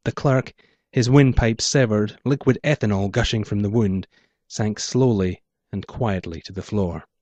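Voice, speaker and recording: Low-voiced man narrating noir fiction. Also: unhurried, darkish tone, no room sound. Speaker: author reading his short story. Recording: dull muffled lo-fi audio.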